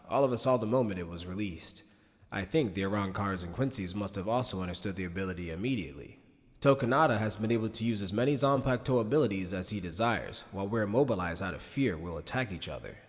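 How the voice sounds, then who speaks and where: A man plays a shrewd middle-aged military commander in an anime audio drama. The voice is low, grave and measured, wrapped in long reverb. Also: lively intonation, natural voice. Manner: measured, serious. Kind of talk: anime fan-dub audio drama acting.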